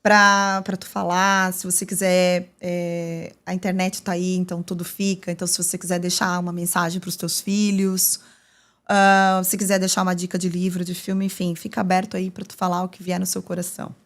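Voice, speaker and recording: A woman, a doctor hosting a podcast, explaining things to her guest in a warm, advising way. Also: fairly low pitch, level tone, normal speed. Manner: warm, explanatory, uses vivid comparisons. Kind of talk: interview host, advising.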